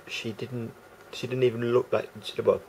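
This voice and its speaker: A man with a croaky voice talking casually to camera as a vlogger, rambling and hesitant with many fillers and restarts. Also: medium pitch, flat delivery, normal speed, darkish tone, slight background noise, low-quality recording.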